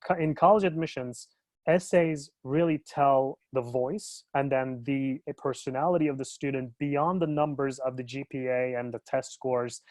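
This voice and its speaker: A man's voice: mid-range voice, steady tone, moderate pace, a little mellow, dry recording. A man from Turkey, an educational consultant in his thirties, talking casually in a webinar discussion, with many fillers and restarts.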